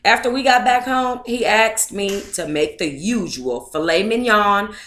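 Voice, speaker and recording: An American woman with a mid-pitched voice, recorded in an echoey space. She hosts a book club livestream, moving between casual chat and reading aloud. Her way of talking is sassy and colloquial. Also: expressive, a little slow, fairly bright tone. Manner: sassy, colloquial, addresses listeners as honey and baby. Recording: echoey, roomy sound.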